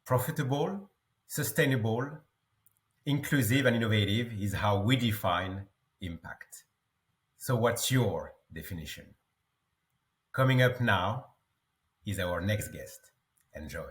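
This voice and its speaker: Unhurried low male announcer. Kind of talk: scripted programme intro narration.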